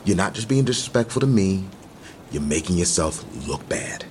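A possessive, indignant suitor in an audio drama. His low voice is emphatic and confrontational, with faint background noise. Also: expressive, moderate pace. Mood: jealous anger.

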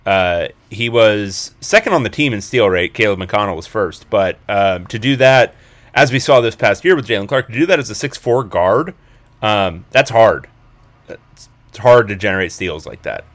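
A man with a fairly low voice chats casually about sports as a podcast co-host. He talks analytically, in a conversational way. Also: expressive, moderate pace.

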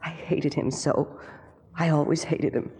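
An actress playing a frightened, bitter young wife in breathy 1940s radio melodrama style. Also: female voice, deep voice, steady tone, a little slow, warm tone, exaggerated voice. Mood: shaken, bitter. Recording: echoey 1940s radio recording.

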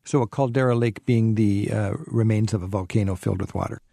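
A man's voice: lowish voice, fairly even intonation, brisk, gravelly, mellow, dry recording, studio quality. A middle-aged man hosting a travel radio show, asking curious, conversational questions in an interview.

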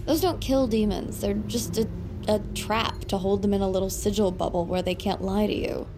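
A woman's voice: medium pitch, moderate pace, background noise, natural voice. An actress playing a guarded, skeptical woman in an audio drama, dry, blunt and dismissive.

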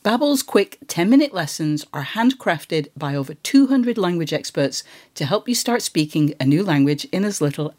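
A voice reading an advertisement in a steady, smooth sales delivery. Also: medium pitch. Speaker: ad reader. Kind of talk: read advertisement.

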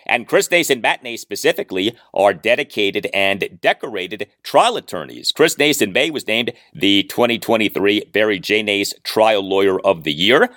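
A man hosting a sports podcast, speaking in an emphatic, deliberate way with stressed key phrases. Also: lowish voice, animated, a bit bright, no room sound, clean studio sound. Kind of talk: scripted ad read and interview questions.

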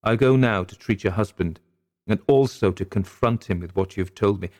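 A man voicing a gallant, earnest gentleman physician with courtly, emphatic period diction, as in a dramatic audiobook. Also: on the low side, moderate pace, lightly acted. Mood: reassuring, resolute.

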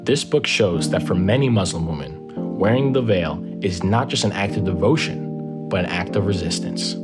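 Male podcast host, lowish voice, measured scripted narration, guitar music underneath. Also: lively intonation. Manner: measured, reflective, rhetorical questions. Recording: music bed behind the voice.

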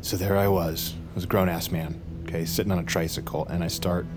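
An actor playing a jittery, wry American assassin on the run, narrating an audio drama in a halting, self-interrupting way. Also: male voice, low voice, flat delivery, music in the background, lightly acted. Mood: sheepish, wry. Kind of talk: audio drama acting and first-person narration.

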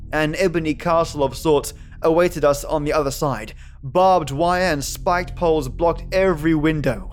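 Male storyteller for first-person horror fiction. Fairly high voice, dramatic scripted read, music underneath. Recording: voice over background music.

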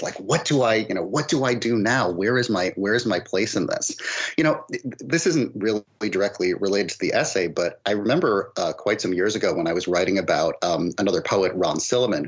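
A man's voice: fairly low pitch, slightly rough. A man talking conversationally as a literary podcast guest, explaining ideas and often rephrasing himself as he goes. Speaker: podcast guest, poet and critic. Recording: dull, muffled audio.

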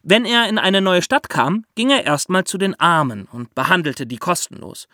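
A man hosting a podcast, explaining step by step in lively storytelling for children with theatrical emphasis. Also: sits high, normal speed, no echo, clean studio sound.

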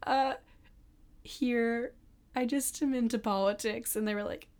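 A young woman with an American accent, co-hosting a podcast in quick, opinionated casual chat full of "like" fillers. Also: on the high side, fairly even intonation, a little mellow. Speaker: podcast co-host.

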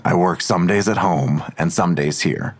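A hard-nosed male detective in an audio drama. He is deep-voiced, curt and dry, giving sardonic, evasive answers with open defiance. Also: measured pace. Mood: guarded, defiant.

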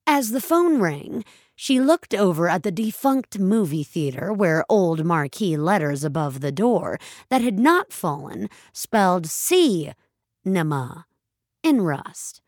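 A woman reading scripted story narration, expressive and deliberate, as the narrator of a tale. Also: lowish voice, no echo, clean studio sound.

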